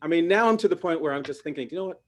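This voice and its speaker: Comic guy, lively pitch going up and down, deadpan punchlines, bit high for a man. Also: very fast, slight background noise. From Canada. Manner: deadpan punchlines, mock voices. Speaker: stand-up comedian; parent. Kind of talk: stand-up comedy set.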